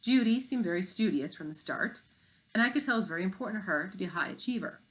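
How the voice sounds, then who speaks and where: An orderly woman schoolteacher acted by a reader explains things in a measured, instructive way. She is a storybook fifth-grade teacher. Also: medium pitch, lively intonation, natural voice, low-quality recording. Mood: explaining.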